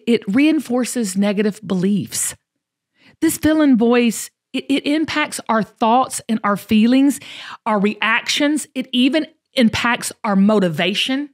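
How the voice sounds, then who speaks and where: A woman's voice: on the high side, expressive, a little slow. A woman with a Southern US accent hosting a motivational talk podcast, emphatic and folksy, repeating phrases to drive them home. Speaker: show host.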